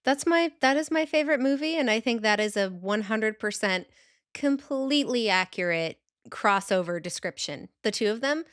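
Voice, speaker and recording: Clear, smooth-voiced woman chatting casually. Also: fairly high pitch, lively intonation, normal speed, a bit bright, no echo, studio quality. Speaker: podcast co-host. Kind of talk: casual podcast chat.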